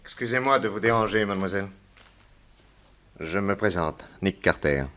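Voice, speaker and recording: Actor as a low-voiced, commanding detective. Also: male voice, animated, normal speed, background noise, natural voice. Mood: courteous, suspicious. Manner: commanding, formal, insistent questioning. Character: authoritative male American detective, brisk, probing and courteous. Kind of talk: theatrical radio detective drama acting.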